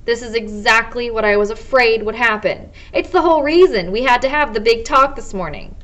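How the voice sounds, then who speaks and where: A woman's voice: on the high side, a little fast, a bit bright. Young American woman reading a story aloud. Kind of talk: children's novel read-aloud, first-person narration. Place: small indoor office or study.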